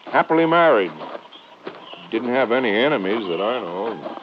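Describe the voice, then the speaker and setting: Folksy sheriff with lively swoops , played by a man. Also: medium pitch, natural voice. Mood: reflective. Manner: folksy, drawn-out hesitations, colloquial. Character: folksy, plain-spoken male small-town Texas sheriff. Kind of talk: 1950s radio drama acting. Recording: muffled old radio recording, faint noise.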